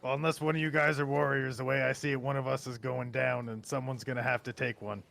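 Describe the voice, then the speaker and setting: A man's voice: mid-range voice, flat delivery, quick pace, gravelly, fairly bright tone. A man voicing an agitated, blunt adventurer who craves coffee, in tabletop roleplay. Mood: blunt, wary.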